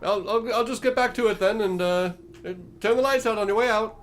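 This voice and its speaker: A man voicing a rambling, awkward undead oracle creature in a tabletop game, halting and muttering asides. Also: high-pitched, slight background noise, voice pushed up, lightly acted. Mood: awkward, hesitant.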